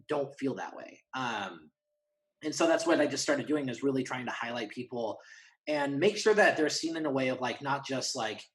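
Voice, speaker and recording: A man talking candidly and casually as a podcast guest, earnest and halting, often voicing quoted asides. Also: mid-range voice, poor audio.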